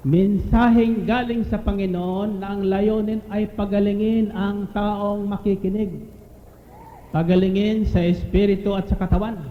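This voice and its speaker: A man's voice: sits high, level tone, unhurried. A man preaching a sermon, quoting scripture and often restating his phrases as he goes. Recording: tinny band-limited roomy audio with hiss.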